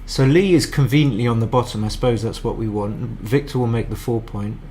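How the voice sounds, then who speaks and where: A man's voice: mid-range voice, fairly quick, mellow, slight background noise. A man giving casual live commentary on a match as a streamer, chatty and thinking aloud with frequent restarts.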